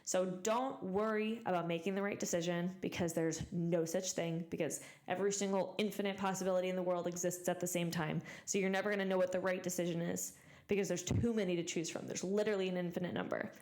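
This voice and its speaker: A woman's voice: lowish voice, steady tone, fast, darkish tone. A young woman in her twenties hosting a motivational solo podcast, talking emphatically and repeating phrases for stress while her voice recovers from being lost. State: recovering from voice loss. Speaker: podcast host.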